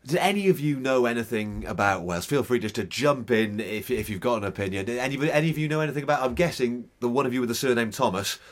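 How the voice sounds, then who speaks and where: A man's voice: mid-range voice, expressive, quick pace, few pauses. A male radio presenter interviewing a group in a studio. He is chatty and self-deprecating, often stumbling and repeating words mid-question. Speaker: radio host. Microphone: studio microphone.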